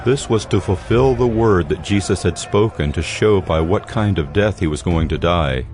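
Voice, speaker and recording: A deep-voiced man narrates scripture for a biblical audio drama. He reads from a script, with faint music underneath. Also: normal speed, a little mellow.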